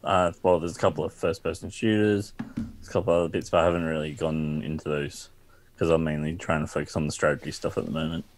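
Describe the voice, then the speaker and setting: A man's voice: very deep voice, level tone, quick pace, slight background noise. An Australian man from South Australia, a guest on a casual gaming podcast, talking in a laid-back, dry way.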